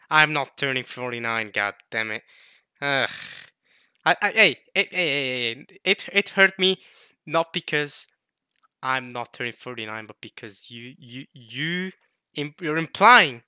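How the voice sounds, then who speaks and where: A man in his late teens chatting casually to viewers as a video host, jokey and animated, repeating words in bursts as he rambles. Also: a little high, clear, resonant tone, dry recording, clean studio sound. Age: late teens, turning twenty. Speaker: host of a video series reading a visual novel.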